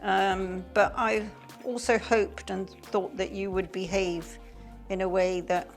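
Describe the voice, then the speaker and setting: A middle-aged woman chats with her son while they cook. She tells family stories in a lively, chatty way and acts out the voices of the people in them. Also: mid-range voice, slow, faint music behind. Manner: warm, chatty storyteller, acts out quotes. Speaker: vlogger's mother, guest. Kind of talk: casual chat while cooking.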